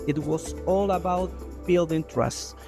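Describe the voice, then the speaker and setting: A man from Chile, an environmental economist, giving a steady, measured scripted narration for a video. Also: fairly high pitch. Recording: video voice-over with music behind.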